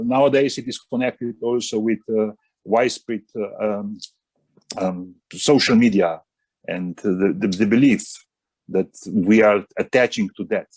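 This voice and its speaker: A man with a Slovenian accent on a video call, speaking haltingly with many pauses as a roundtable panelist. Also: fairly low pitch, darkish tone, husky, no room sound. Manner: halting, many fillers and restarts. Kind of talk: online roundtable discussion.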